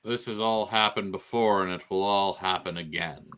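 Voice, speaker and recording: A man's voice: low-pitched, steady tone, normal speed, over a noisy crowd. A man co-hosting a podcast, dropping dry, mock-solemn pronouncements into casual banter. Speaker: podcast co-host.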